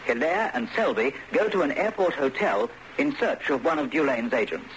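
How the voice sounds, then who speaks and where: A man's voice: on the high side, very animated, normal speed, a bit bright, slight background noise, poor audio. A man announcing a radio thriller serial with scripted, dramatic narration.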